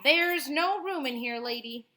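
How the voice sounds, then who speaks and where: Woman acting a bossy, gruff storybook bus driver. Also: high voice, expressive, a little slow, sharp tone, voice pushed up, exaggerated voice. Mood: angry, barking. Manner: bossy, barking orders.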